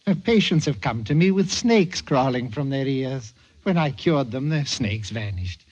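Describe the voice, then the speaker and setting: An actor playing an unflappable, patronizing Martian psychiatrist with a clinical, humoring manner, in an old-time radio play. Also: male voice, medium pitch, lively intonation, measured pace. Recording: dull vintage radio recording.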